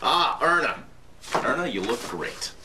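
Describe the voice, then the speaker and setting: A man as an uneasy corporate radio executive in a 1930s period drama. His medium-pitched voice moves up and down a lot as he flatters and hedges, over faint noise. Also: unhurried. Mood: ingratiating. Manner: ingratiating, hedging, repeats himself. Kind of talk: period television drama acting.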